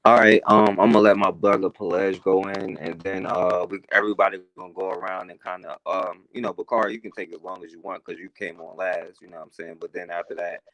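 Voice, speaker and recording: A man's voice: low-pitched, flat delivery, fast, few pauses, fairly bright tone, no room sound, low-quality recording. A man hosting a panel discussion, informally handing the floor to the next speakers. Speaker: host.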